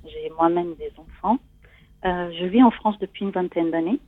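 A woman's voice: on the low side, moderate pace, dry recording, narrow, tinny audio. A woman in her forties from Italy, speaking with an Italian accent in a hesitant, conversational way as she shares something personal. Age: 40s. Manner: hesitant, searching for words. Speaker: guest seeking coaching.

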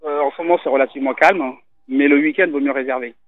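A man from Italy, a restaurant owner, talking casually on the phone in an interview, relaxed and hesitant with many fillers. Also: medium pitch, fast. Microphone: telephone. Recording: tinny phone line.

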